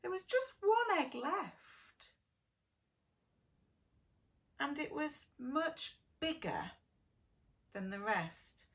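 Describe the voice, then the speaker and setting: A woman teacher telling a story to preschool children in a sing-song, exaggerated way, gently asking them questions. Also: sits high, very animated, takes it slowly. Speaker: preschool early years teacher reading a story.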